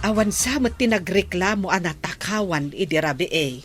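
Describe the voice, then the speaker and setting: Woman playing an animated, chiding village woman. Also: fairly low pitch, normal speed, over music, lightly acted. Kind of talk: Ilocano radio comedy drama acting.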